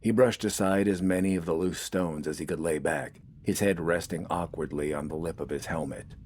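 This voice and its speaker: Male audiobook narrator, deep pitch, scripted storytelling, slight background ambience. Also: fairly even intonation, moderate pace, darkish tone, a little hiss or hum.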